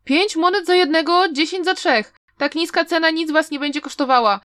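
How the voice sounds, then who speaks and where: A woman's voice: very high-pitched, moderate pace, fairly bright tone, dry recording, voice pushed up. A woman voicing a pushy male street charlatan who hawks charms with brash patter. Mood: hawking.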